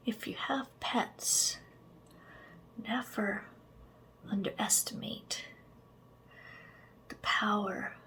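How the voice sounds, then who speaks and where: A woman whispering slow, soft ASMR affirmations straight to the listener, with chatty asides and a gentle sense of humor. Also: medium pitch. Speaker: ASMR creator.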